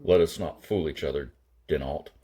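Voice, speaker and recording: Male reader as hard older gunman, very low voice, husky, grim, curt, commanding, sci-fi audiobook. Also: normal speed, dark tone. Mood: impatient. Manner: level, grim, impatient delivery.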